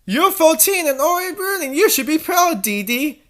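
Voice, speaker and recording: A woman's voice: high voice, sing-song, moderate pace, pitched above the performer's own voice. A woman voicing a supportive, reassuring brother in a story reading, exaggeratedly encouraging.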